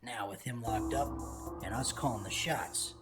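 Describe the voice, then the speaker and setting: A man's voice: medium pitch, moderate pace, natural voice. A man playing a menacing, vengeful gang boss villain in an audio drama. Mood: gloating menace. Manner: menacing, gloating. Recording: music bed under the voice.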